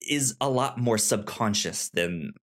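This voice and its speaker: Podcast co-host for casual book chat, halting delivery with repeated words and stuttered starts. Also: mid-range voice, expressive, fairly bright tone.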